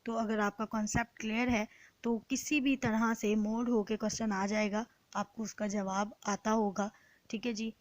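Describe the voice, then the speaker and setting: A woman with a Pakistani accent giving an explanatory lecture in a deliberate, instructive way, repeating points in other words. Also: mid-range voice, level tone, dry recording. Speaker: law lecturer. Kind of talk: explanatory exam-prep lecture, reading and translating.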